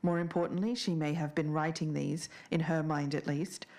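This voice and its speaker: A woman playing a podcast investigator, speaking in a measured, sceptical and deliberate way as she narrates and discusses. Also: deep voice, steady tone, a little mellow. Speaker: podcast co-host.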